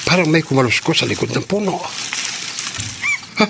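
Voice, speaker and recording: A man's voice: fairly high pitch, animated, normal speed, gravelly, background noise. A man playing a scientist who talks to himself in a radio drama monologue, explaining as he goes. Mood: startled, alarmed. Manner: expository, thinking aloud. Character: male home-lab scientist talking to himself.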